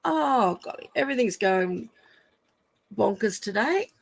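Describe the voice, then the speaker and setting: A woman with a croaky voice, chatting casually and apologetically on a home live stream while fixing her phone camera. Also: on the high side, expressive, unhurried. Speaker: live stream craft demonstrator. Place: room at home.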